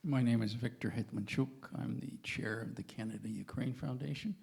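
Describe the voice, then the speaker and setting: A man speaks up in a large conference hall and introduces himself as chair of a foundation. His voice has a gravelly edge, and he speaks firmly and emphatically. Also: mid-range voice, normal speed, a little mellow. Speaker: foundation chair, audience participant. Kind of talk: self-introduction.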